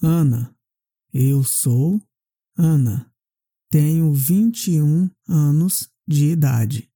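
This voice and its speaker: A woman with a low, extremely dark and mellow voice leads a language drill. She speaks extremely slowly, separating each short question and answer with care. The sound is dry and close, with little room around it. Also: breathy edge, no echo. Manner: deliberate, carefully separated phrases. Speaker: language teacher.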